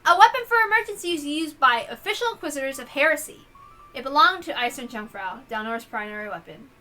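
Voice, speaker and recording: A woman with a bright, fairly high voice hosts a visual novel read-aloud. She reads the text expressively in a casual, homemade style. Faint music plays underneath. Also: expressive, fairly quick, low-quality recording. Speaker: reader and host.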